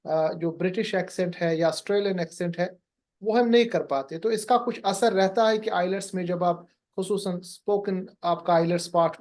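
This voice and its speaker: A man with a high voice hosts an online webinar, polite and formal. He drops English words freely into his sentences. The sound comes through a video call. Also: a little mellow. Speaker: webinar host.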